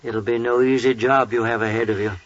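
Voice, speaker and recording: A man's voice: medium pitch, fairly even intonation, brisk, lightly acted. Man doing old bible priest, very solemn, declaiming, dull old 1940s radio recording. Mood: grave, kindly. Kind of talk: 1940s radio drama acting.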